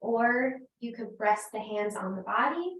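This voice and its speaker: Slow-paced woman in an echoey room. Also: a little high, animated. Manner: gentle, unhurried, invitational. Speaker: yoga teacher. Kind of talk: slow guided restorative yoga instruction. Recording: roomy echo, distant microphone.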